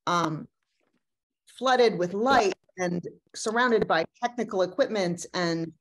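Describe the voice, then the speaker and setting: Female actor, thirties, lowish pitch, thoughtful talkback style, recorded at home over video call. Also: low-quality recording. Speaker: actor in an audio drama cast. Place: room at home, indoors.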